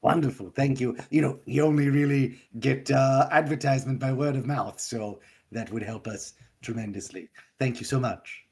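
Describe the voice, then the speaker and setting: A player voicing a fawning, over-polite puppet-show performer with a creaky, husky voice in a tabletop game. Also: mid-range voice, moderate pace, few pauses, darkish tone. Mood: ingratiating. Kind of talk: tabletop roleplay in character.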